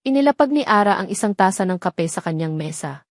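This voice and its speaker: A woman narrates a scripted audio story in a dramatic storytelling style. Her pitch sits in the middle for a woman. The sound is dry, with very little room around it. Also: lively intonation, fairly quick, no echo.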